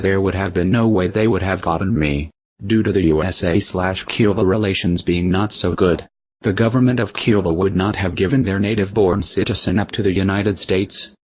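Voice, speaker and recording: Low-voiced man, stiff interview answers. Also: muffled recording.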